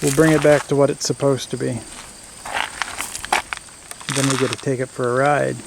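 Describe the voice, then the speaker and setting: A man's voice: medium pitch, little pitch movement, normal speed, vocal fry, background noise. A man giving casual hands-on commentary as host of a workshop build video, plain-spoken and thinking aloud.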